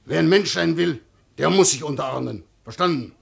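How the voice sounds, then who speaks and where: An actor playing a rigid, dutiful Prussian official who admonishes emphatically in a 1950s German radio play. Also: male voice, animated, fairly quick, fairly bright tone, full character voice. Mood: stern. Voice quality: gravelly, husky. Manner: emphatic, admonishing, swinging in pitch. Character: rigid, dutiful male Prussian official, exasperated believer in order.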